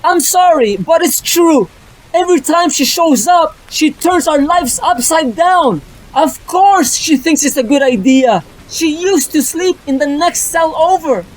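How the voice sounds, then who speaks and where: Mary Jane, a performer doing a high, sharp-tongued young woman. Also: lively intonation, unhurried, rough recording. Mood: snappish. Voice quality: sharp, pleading. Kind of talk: fan dub of a motion comic.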